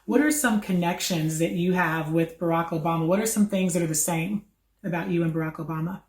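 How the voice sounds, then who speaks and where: A woman's voice: fairly low pitch, fairly quick, darkish tone. A woman hosting a children's activity, quizzing a child and encouragingly confirming each answer. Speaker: host of a children's read-aloud. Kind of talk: educational chat, quizzing a child. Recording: echoey room.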